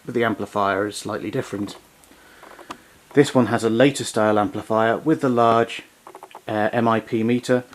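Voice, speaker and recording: A man presenting vintage equipment in an unscripted demonstration, explaining things in a hesitant, pausing way. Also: medium pitch, slight background noise. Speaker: vintage tape recorder collector presenting.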